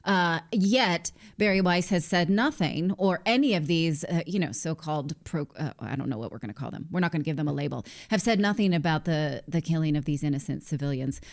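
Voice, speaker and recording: A middle-aged woman with a slightly low voice interviews as a news show host. Her delivery is conversational, and she speaks close to a studio mic. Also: fairly quick, hardly any pauses. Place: indoor studio. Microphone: studio desk mic, close.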